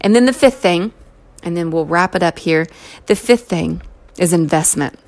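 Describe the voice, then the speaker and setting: A woman's voice: lowish voice, normal speed. Encouraging American woman speaker. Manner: conversational, encouraging, emphatic repetition. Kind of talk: inspirational talk to an audience.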